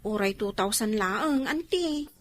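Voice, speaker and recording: A young actress with an Ilocano accent playing a cheeky, pleading niece in a comedy drama. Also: female voice, a little high, animated, a little slow. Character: grown-up niece, cheeky and pleading, fond of her aunt.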